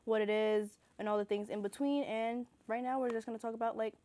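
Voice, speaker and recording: A woman's voice: a little high, fairly even intonation, fast, warm tone, dry recording. A young woman co-hosting a casual talk show, upbeat and chatty, asking her guests questions. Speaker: podcast co-host. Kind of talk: casual discussion.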